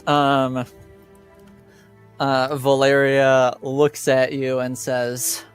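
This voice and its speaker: A man running a tabletop game as game master, narrating casually and haltingly as he thinks aloud. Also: medium pitch, monotone, clean tone, faint music behind.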